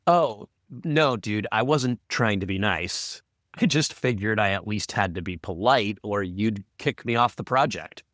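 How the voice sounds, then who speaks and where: A man's voice: lowish voice, unhurried, a bit bright, dry recording. A man co-hosting a casual podcast discussion as its audio producer, with dry, teasing banter.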